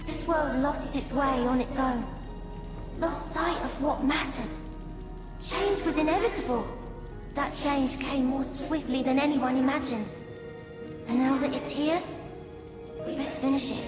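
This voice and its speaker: An actress playing a serene, folksy female oracle in a game, talking in a homespun, rustic way. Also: sits high. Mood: serene, kindly. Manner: slow, homespun, rustic phrasing. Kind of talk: game cutscene acting. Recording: echoey hall reverb, loud music behind.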